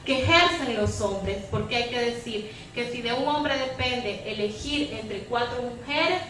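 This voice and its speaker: A woman's voice: on the high side, measured pace, a bit bright. A woman arguing analytically and emphatically as a debate panelist, often ending on a questioning tag. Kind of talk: impassioned argument in a public debate. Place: echoey room at a public debate. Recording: echoey hall, distant mic, background noise.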